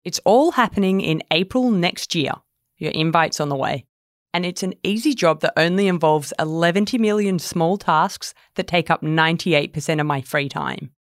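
A woman's voice: lowish voice, normal speed, dry recording, studio quality. A woman reading her own first-person piece in a wry, self-deprecating way. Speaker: writer reading own article. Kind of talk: scripted first-person narration.

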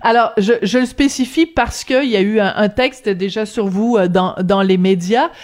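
A woman's voice: mid-range voice, moderate pace, darkish tone. A woman hosting a conversational interview, putting questions and summing up for her guest. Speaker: interviewer, radio host.

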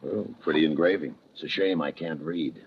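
An actor playing a tough, sardonic homicide lieutenant in a 1950s radio crime drama, clipped and deadpan. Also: male voice, a bass voice, moderate pace, a little hiss or hum. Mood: dry, needling. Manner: clipped, hard-boiled, deadpan sarcasm. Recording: dull, muffled 1950 radio recording.

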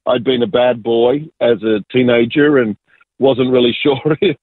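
A man's voice: mid-range voice, fairly even intonation, no echo. A middle-aged man with an Australian accent, born in Argentina, telling his faith story as an interview guest, hesitant and full of restarts. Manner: halting, many restarts and fillers. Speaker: guest, business pastor. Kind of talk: casual interview, testimony. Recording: tinny phone line.

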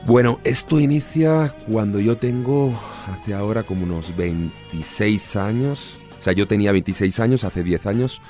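A man's voice: lowish voice, moderate pace, darkish tone, soft background music. A man in his thirties with a Spanish accent, an actor answering interview questions in an easygoing, chatty way. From Spain. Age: 30s. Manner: easygoing, chatty, with frequent restarts. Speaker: actor guest.

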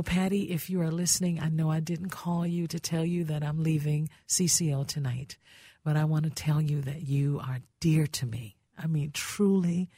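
A woman's voice: sits low, breathy edge, dry recording, studio quality. A woman radio talk show host tells a heartfelt story about how she got her start on air, acting out other people's voices in her anecdotes. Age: older. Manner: warm reminiscing, acts out voices in her anecdotes. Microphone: studio microphone.

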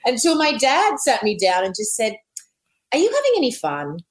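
A woman in her forties with an Australian accent, telling her story as a guest in a casual interview, animated and laughing often. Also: a little high, normal speed.

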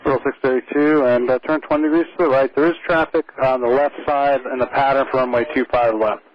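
A man working as an air traffic controller, giving calm, reassuring procedural instructions over the radio. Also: medium pitch, fast, highs cut off.

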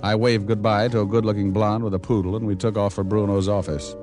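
An actor playing a cocky, sardonic American private detective in an old-time radio drama, breezy and wisecracking. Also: male voice, on the low side, little pitch movement, fast talker, darkish tone, close to an everyday voice. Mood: wry narration. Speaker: radio drama lead and narrator. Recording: vintage radio recording with music.

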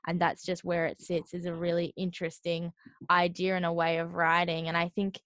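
A woman's voice: lowish voice, monotone, fairly quick, a bit bright, dry recording. An Australian woman hosting a radio interview, asking long, friendly questions about an album.

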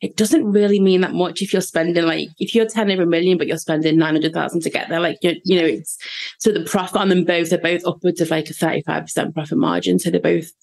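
Chatty Scouse woman, lower voice. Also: fairly even intonation, quick pace, gravelly, a little mellow. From the Wirral, England. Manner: chatty, upbeat, full of fillers like "like" and "kind of". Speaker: guest entrepreneur. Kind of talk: podcast interview.

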